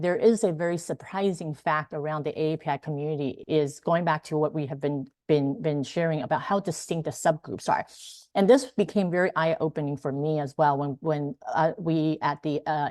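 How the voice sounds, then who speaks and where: A woman with a low voice moderates a webinar panel, eagerly thanking speakers and leading into questions. She talks with many fillers and restarts. The sound is dry and close. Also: a little mellow, no echo.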